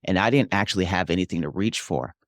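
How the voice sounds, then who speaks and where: Low-voiced man telling his story. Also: quick pace, no echo, studio quality. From Hawaii. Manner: earnest, reflective, frequent "like" and "man". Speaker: guest, real estate investor and pastor. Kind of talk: casual interview storytelling.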